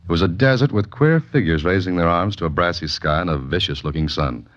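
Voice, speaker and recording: An actor playing a laconic, wisecracking writer-adventurer with an American accent, narrating a 1940s radio mystery. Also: male voice, deep voice, a little fast, a bit bright. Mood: wry narrating. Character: dry, wry writer and amateur adventurer, male narrator. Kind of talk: 1940s radio mystery acting, hard-boiled first-person narration. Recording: muffled 1940s radio recording with music.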